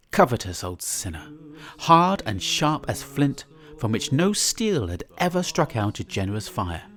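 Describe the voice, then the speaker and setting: A man giving a live dramatic reading of a classic tale in a church, theatrical and savouring each descriptive word. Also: medium pitch. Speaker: reader.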